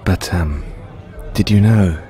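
Actor as a dorky, slow-talking British flirt. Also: male voice, deep voice, dark tone, some chatter behind. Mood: playful, sheepish. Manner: halting, giggly, bashful delivery. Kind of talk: audio roleplay acting.

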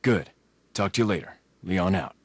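An actor playing a cool, dryly wry young American government agent in a video game cutscene. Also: male voice, deep voice, expressive, normal speed. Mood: cool, confident.

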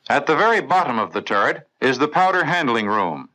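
A man with an American accent reading scripted mid-century instructional film narration for the navy in a clear, methodical, authoritative way. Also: medium pitch, big pitch swings, brisk, bright, forceful tone, low-quality recording. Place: voice-over recorded in a studio.

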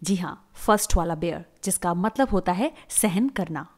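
A woman teacher, patiently explaining word meanings and repeating words slowly for learners in a voice-over lesson. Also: fairly low pitch, expressive. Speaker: English teacher. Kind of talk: explaining lesson to camera viewers. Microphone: voice-over on slides.

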